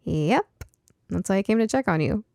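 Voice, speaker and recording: Woman doing a sweet teasing mom for a cozy storybook, reassuring, bit of creak, close dry sound. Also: mid-range voice, expressive, moderate pace, darkish tone, dry recording, lightly acted. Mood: gently chiding. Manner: chuckling, reassuring, coaxing. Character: cheerful, caring, gently teasing mother.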